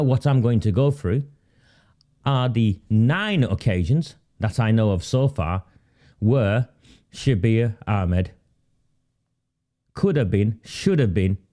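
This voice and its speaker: A man's voice: lowish voice, mellow. A man, sniffly from a heavy cold, delivering an indignant monologue at home and repeating key points for emphasis. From Oldham, England. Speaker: independent campaigner presenting his channel.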